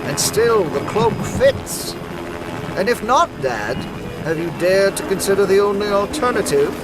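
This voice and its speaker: High-voiced, grandiose sinister mastermind. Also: male voice, lively intonation, low-quality recording. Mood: gloating menace. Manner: theatrical, taunting, deliberate menace. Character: gloating, sinister criminal mastermind, cruel and grandiose. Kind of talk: animated motion comic acting.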